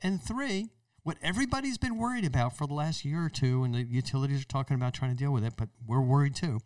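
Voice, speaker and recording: A man's voice: medium pitch, fast, a little mellow, dry recording, distant mic. An American man hosting an explanatory talk show in an emphatic, conversational way. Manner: emphatic, conversational, acts out imagined remarks. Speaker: podcast host. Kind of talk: explanatory radio-style talk.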